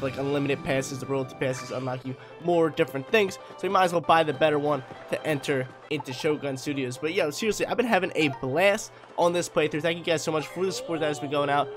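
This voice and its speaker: A young man with a slightly hoarse voice, a gaming YouTuber giving hyped, slangy let's-play commentary into a headset mic, with faint game music behind. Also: fairly high pitch. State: slightly hoarse from a sore throat.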